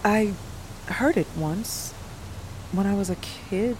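A woman's voice: medium pitch, slow, close to an everyday voice, rough recording. A wry, guarded woman played by an actress in an audio drama. She speaks in a middle register with dry understatement. There is faint noise behind her. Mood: wistful, guarded. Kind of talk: naturalistic audio drama acting.

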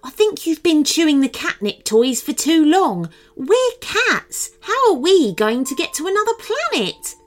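A quick, sharp male alley cat who is always exasperated with his friends, voiced by a woman telling a bedtime story. His voice jumps high and swings widely. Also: sing-song.

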